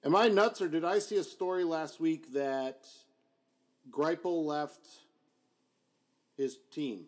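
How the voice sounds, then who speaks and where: A man co-hosting a casual sports podcast, tossing out teasing jokes in quick banter. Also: fairly high pitch. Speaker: podcast co-host.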